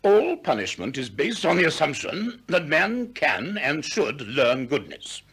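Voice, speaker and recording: Self-assured old-time greek orator, husky voice, pitch swoops all over, radio play. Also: male voice, sing-song, a little slow, fairly bright tone, exaggerated voice. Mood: confident lecturing. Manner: assured, expansive, lecturing. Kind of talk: 1960s radio drama oratory.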